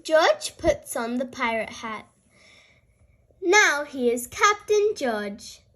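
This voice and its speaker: A young-sounding host reading a children's storybook aloud in a cheerful, expressive way, speaking directly to young listeners. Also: high-pitched, slow. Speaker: host and reader of a children's read-aloud show.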